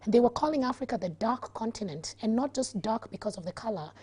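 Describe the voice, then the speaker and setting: Husky-voiced Kenyan woman explaining. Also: a little high, expressive, fairly quick, dark tone. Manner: earnest, explanatory, laughs easily. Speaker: guest, activist. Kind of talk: studio talk-show discussion. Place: TV studio.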